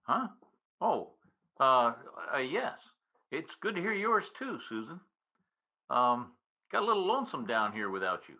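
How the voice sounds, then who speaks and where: An actor plays a bashful suitor in an old-time radio romantic comedy. His medium-pitched voice moves about animatedly, with a halting, folksy delivery that keeps stumbling over itself. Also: sing-song, bright, forceful tone, full character voice. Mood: bashful, nervous. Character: bashful, folksy newspaper reporter courting his sweetheart. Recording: video-call radio play recording.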